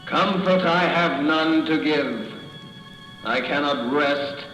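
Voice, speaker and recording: A man's voice: on the high side, slow pace, bright, forceful tone. An actor playing the mournful, reproachful ghost of a dead businessman, solemn and declamatory, in a radio drama. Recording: echoey reverberant hall effect, faint music.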